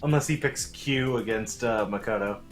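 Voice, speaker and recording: A man's voice: on the low side, normal speed, faint music behind. A man making casual, bantering comments as co-commentator on a game stream.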